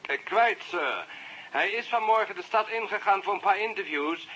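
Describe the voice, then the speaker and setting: An actor playing a polite, apologetic English police inspector in a radio drama, husky and halting, with an English accent. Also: male voice, high voice, lively intonation, bright, forceful tone, exaggerated voice, rough recording. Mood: hesitant, uneasy. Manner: halting, courteous, formal.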